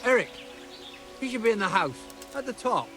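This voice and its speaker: High, lively gruff gate guard, curt, video game. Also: male voice, moderate pace, music in the background. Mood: wary. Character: gruff male bandit camp gate guard.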